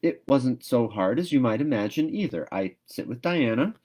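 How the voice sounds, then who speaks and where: A man's voice: medium pitch, expressive, normal speed, darkish tone, voice pushed up, close to an everyday voice. A man voicing a chatty, dreamy, dramatic young orphan schoolgirl from a storybook, in an earnest, gossipy ramble.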